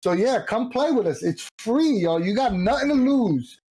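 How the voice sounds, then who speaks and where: A man's voice: high voice, animated, normal speed, darkish tone, breathy edge. A man hosting a stream, chatting casually and giving promotional plugs in an informal, slangy, joking way. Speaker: host of a gaming community stream.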